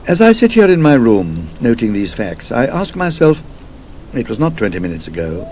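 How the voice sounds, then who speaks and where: Haunted gentleman traveller, performed by a male narrator, British RP, halting confessional delivery, very animated intonation, faint noise behind. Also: mid-range voice. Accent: British Received Pronunciation. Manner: halting, emphatic, fearful confession. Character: haunted English gentleman traveller recounting his terror.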